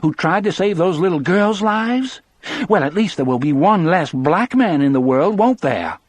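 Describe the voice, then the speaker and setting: Guy reading as an agonized smart wife in an audiobook, higher pitched, pleading and fierce, kinda husky. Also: expressive, moderate pace, no room sound. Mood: furious, accusing. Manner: emphatic, pleading, indignant.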